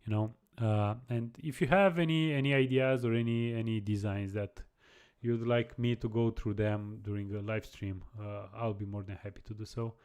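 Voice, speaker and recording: Hesitant low-voiced man streaming live. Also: fairly even intonation, darkish tone, clean tone. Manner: halting, many restarts and repeated words. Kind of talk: casual live-stream chat answering viewers.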